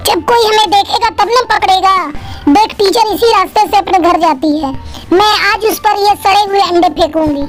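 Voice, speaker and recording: A bold little schoolgirl full of mischief for a children's cartoon. The voice is extremely high and childlike, over soft background music. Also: normal speed. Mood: defiant. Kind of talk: Hindi cartoon story dialogue.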